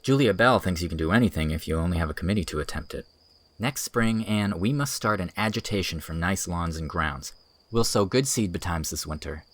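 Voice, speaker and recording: A man's voice: low voice, lively intonation, a little fast, no room sound. A man playing an earnest, teasing young village schoolteacher in audiobook dialogue, articulate and enthusiastic. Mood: brisk, planning.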